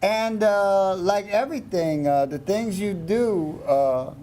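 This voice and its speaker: Casual male interview host. Mellow, unhurried, repetitive and halting delivery. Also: sits high. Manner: halting, repeats himself. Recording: faint background noise.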